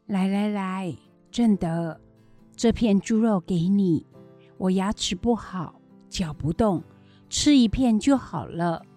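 An elderly, caring grandmother played by a woman in a children's radio drama. She takes her time over her words in a mellow voice. She fusses over and chides those she looks after. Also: medium pitch, lively intonation, takes it slowly, soft background music. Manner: fussing, chiding.

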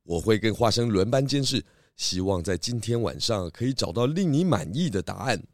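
A man's voice: fairly low pitch, animated, normal speed, dry recording, clean studio sound. A man playing a confident, polite pig detective in a children's audio drama. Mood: assured.

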